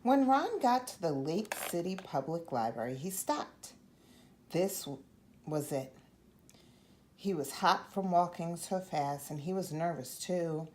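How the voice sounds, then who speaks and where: A woman reading a children's picture book aloud as an expressive storyteller, acting out the dialogue as she goes. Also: on the low side, slow, slight background noise. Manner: animated, acts out the dialogue. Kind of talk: expressive read-aloud narration.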